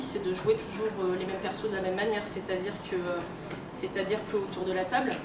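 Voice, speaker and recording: A woman giving an informal convention talk, explaining in a colloquial, hesitant way with many filled pauses. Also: medium pitch. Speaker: speaker, role-playing game researcher and editor. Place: indoor room at a games convention. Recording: roomy, distant, noisy live convention recording.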